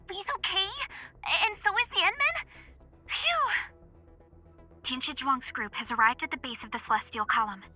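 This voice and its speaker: An eager, caring young female comrade in a game. Her voice is high and piercingly bright, animated and quick to worry, with faint music behind. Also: heavily acted. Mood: relieved. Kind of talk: video game voice acting.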